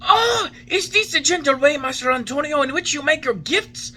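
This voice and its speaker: A reader doing a shrill, hot-tempered old woodcarver. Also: wildly swooping pitch, slightly rough, exaggerated voice. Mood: outraged. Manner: shrill, indignant, quick to anger.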